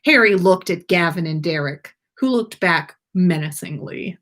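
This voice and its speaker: Slow-paced woman reading and chatting playfully. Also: on the low side, animated, fairly bright tone. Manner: playful, informal. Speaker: podcast host and story reader. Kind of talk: storytelling read-aloud and casual livestream chat.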